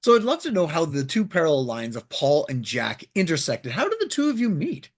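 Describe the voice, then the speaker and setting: A man's voice: a little high, huge pitch swings, brisk. A man hosting an interview show in a conversational style, putting questions to guests.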